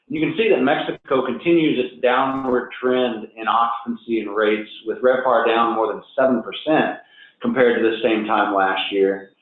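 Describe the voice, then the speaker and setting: A man presenting a business webinar, upbeat and conversational, a little hoarse and nasal from a cold. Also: medium pitch. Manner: upbeat, conversational, frequent fillers and restarts. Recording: tinny phone-line audio with heavy echo.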